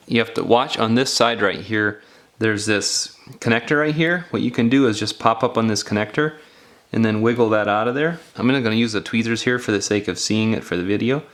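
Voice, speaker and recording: A man with a fairly low voice talks through a hands-on repair job. His phrasing is informal and folksy, and he explains things one step at a time like a casual tutorial. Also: fairly bright tone. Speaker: DIY phone repair instructor.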